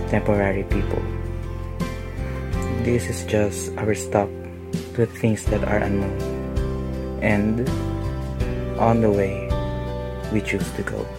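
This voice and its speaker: A man playing a bereaved, reflective narrator in a radio drama, speaking in a measured, solemn way. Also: fairly low pitch. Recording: guitar music under the voice.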